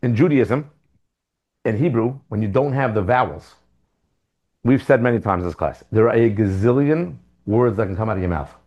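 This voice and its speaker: Man, rabbi, torah class lecture, classroom, middle pitch, animated, rhetorical questions, sudden pitch and volume jumps. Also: normal speed, muffled recording. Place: classroom with students.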